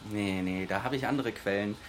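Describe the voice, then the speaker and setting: An actor playing an easygoing young lab researcher who deals on the side, talking casually in a naturalistic radio drama. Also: male voice, lowish voice, a little fast, a little hiss or hum, lightly acted. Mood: reassuring. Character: easygoing young male lab researcher dealing homemade speed. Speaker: research assistant.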